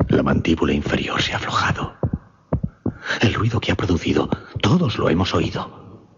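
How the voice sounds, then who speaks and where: An actor playing a grave mesmerist who recounts a horrifying case in a husky, breathy voice, halting and suspenseful, in radio drama style. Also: male voice, low-pitched, animated, fairly bright tone, slight background noise. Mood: hushed dread. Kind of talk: dramatic radio horror storytelling. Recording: dull radio drama recording.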